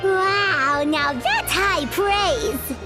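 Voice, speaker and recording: A woman voicing a small, excitable, childlike fairy companion in a video game, with a squeaky, expressive delivery. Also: drawn-out words, heavily acted. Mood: chatty, curious. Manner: refers to self in third person, expressive. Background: background music.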